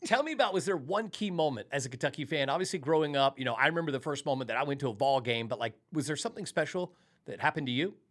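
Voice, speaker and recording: A man's voice: a little high, expressive, racing, fairly bright tone. A middle-aged American man from Tennessee hosting a sports podcast, speaking with emphatic showmanship in upbeat intros and interview chat. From Tennessee, United States.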